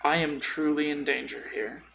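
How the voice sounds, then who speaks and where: A man's voice: a little high, fairly even intonation, moderate pace, vocal fry, a crowd in the background, rough recording. A man chatting casually about tabletop role-playing in an informal, rambling way full of tangents.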